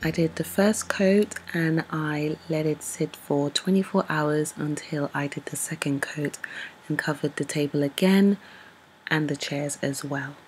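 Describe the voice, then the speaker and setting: A woman calmly narrating a voice-over, explaining each step of a furniture project. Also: deep voice, a little slow, slight background noise, poor audio. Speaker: DIY vlogger.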